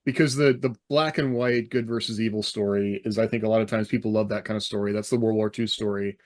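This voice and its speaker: A man in a casual podcast discussion, thinking aloud in halting phrases. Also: lowish voice, steady tone, a little mellow, dry recording, studio quality.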